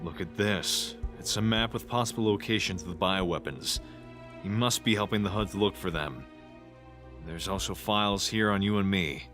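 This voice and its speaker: A man's voice: deep voice, normal speed, fairly bright tone. A man playing a serious police inspector who narrates his investigation in an audio crime drama. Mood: focused. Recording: music behind the voice.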